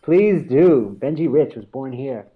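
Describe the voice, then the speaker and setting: Guest: man in his forties from the States, mid-pitched, loose anecdotal delivery full of fillers and laughs. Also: expressive, measured pace, breathy edge, narrow, tinny audio. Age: 40s. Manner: laughing storyteller with many fillers and restarts. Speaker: podcast guest. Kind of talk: casual conversation.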